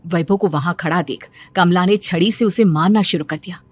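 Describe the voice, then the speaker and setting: A woman's voice: on the low side, normal speed, no echo. Woman narrating a cartoon story.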